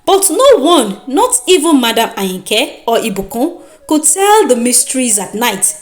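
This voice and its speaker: A woman with a Nigerian accent narrating a folktale in a dramatic, expressive and deliberate storytelling manner. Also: on the high side. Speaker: storyteller and host.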